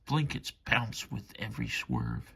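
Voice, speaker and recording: Slow, gravelly man reading bedtime rhymes. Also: on the low side, huge pitch swings, fairly bright tone. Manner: rhythmic, measured delivery of rhyme. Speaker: storybook reader. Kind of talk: bedtime story read-aloud.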